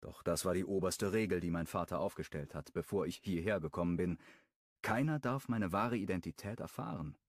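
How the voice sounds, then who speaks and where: A man narrating a first-person romance audiobook in a calm, wry tone. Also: low voice, fairly quick, dry recording, studio quality.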